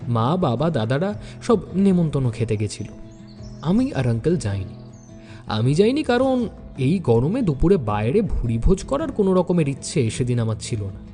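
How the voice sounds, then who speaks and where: A man narrating a detective audio story in the first person as an earnest university student, expressive and dramatic. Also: fairly high pitch, big-room sound.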